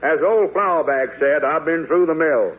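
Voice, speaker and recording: An actor playing a loud, boastful senator with a folksy drawl, in 1940s radio comedy. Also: male voice, fairly high pitch, normal speed, noisy background, lightly acted. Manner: bombastic, repeats himself, folksy drawl. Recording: tinny old radio broadcast.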